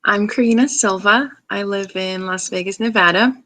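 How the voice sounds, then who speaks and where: A woman's voice: mid-range voice, moderate pace, fairly bright tone, dry recording. A woman in her thirties, originally from Brazil, chatting casually as she introduces herself to a class, with many ums and restarts. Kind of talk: casual self-introduction video.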